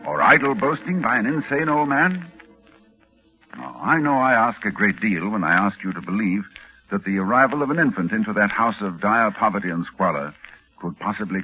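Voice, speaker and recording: An actor playing a grave, learned doctor who narrates in formal, ominous 1940s radio style. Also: male voice, fairly low pitch, close to an everyday voice. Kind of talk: 1940s radio acting, dramatic scripted narration. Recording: muffled, narrow vintage 1940s radio, music.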